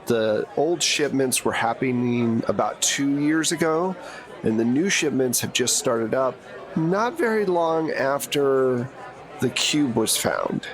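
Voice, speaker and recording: Male game master, medium pitch, improvised conversational narration for a tabletop roleplaying session. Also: lively intonation, takes it slowly, soft background music.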